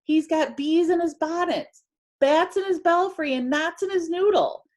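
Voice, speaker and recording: A woman's voice: very high-pitched, a little slow, a little mellow, voice pushed up. A woman voicing indignant, gossipy storybook neighbors who scold and exclaim emphatically about anyone different. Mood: scandalized.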